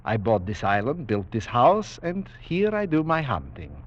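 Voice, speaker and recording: An actor playing a suave, menacing aristocratic general who hunts men for sport, speaking with courtly, formal boastfulness in 1940s radio style. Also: male voice, medium pitch, wildly swooping pitch, unhurried. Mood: relishing, intense. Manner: courtly, formal, coolly boastful, savoring his words. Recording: dull vintage 1940s radio broadcast.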